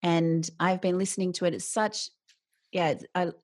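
A woman hosts a casual interview. She is chatty and encouraging, with a somewhat low voice. The sound is dry and close. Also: steady tone, moderate pace, a little mellow, dry recording. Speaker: interviewer host.

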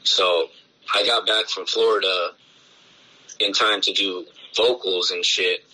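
A man, a punk band's bassist, talking loosely in a casual interview, full of swearing and filler words. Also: steady tone, slow, sharp tone, poor audio. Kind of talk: casual podcast conversation.

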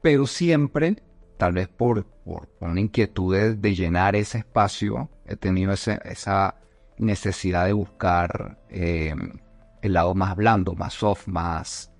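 A man's voice: deep voice, slow pace, dry recording, faint music behind. A man from Venezuela, a leadership coach, talking reflectively as a podcast guest, with frequent restarts and fillers. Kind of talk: conversational podcast interview.

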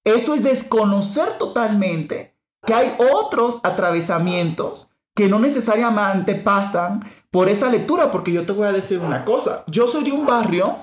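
A woman's voice: medium pitch, expressive, moderate pace. Dominican woman thinking aloud, roomy echo. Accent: Dominican Spanish. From Dominican Republic. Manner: thinks aloud with frequent restarts and emphatic stress. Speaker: podcast host. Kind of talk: reflective conversational discussion.

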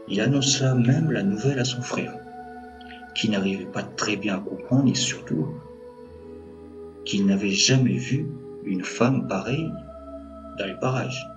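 A man with a fairly low voice narrates a scripted audio story. He tells it in measured short phrases, with music playing underneath. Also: muffled recording.

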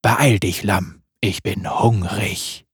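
A man voicing a hungry, playful male wolf spirit, speaking low, slow and growling. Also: steady tone, vocal fry, slightly rough, dry recording, studio quality, heavily acted.

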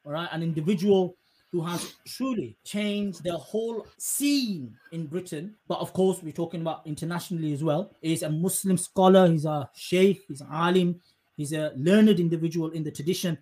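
Higher-voiced man, effusive podcast host. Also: moderate pace, warm tone. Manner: effusive, emphatic, wordy. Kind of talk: conversational interviewing.

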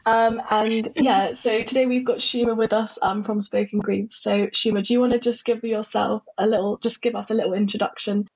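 A woman in her twenties hosts a podcast in a friendly, slightly halting way. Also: on the high side, steady tone, slightly rough. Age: 20s. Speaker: podcast host. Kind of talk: casual podcast hosting. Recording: dull lo-fi recording with faint music.

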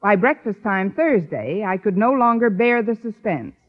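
Sardonic schoolmarm acted by a woman, animated pitch, dry punchlines, vintage radio comedy, slightly dull sound. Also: normal speed, natural voice. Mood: wry, narrating. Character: wry, quick-witted single schoolteacher woman. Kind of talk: 1950s radio comedy acting.

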